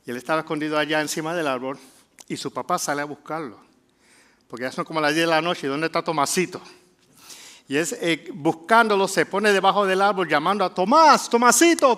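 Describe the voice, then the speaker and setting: Puerto Rican pastor, slightly high voice. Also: male voice, animated, bright, forceful tone. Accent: Puerto Rican Spanish. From Puerto Rico. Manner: conversational, many filler "eh" pauses. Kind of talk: sermon.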